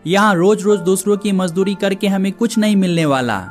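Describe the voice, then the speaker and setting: A man's voice: high-pitched, brisk, faint music behind. A man voicing the brothers and villagers of a cartoon story in plain conversational dialogue.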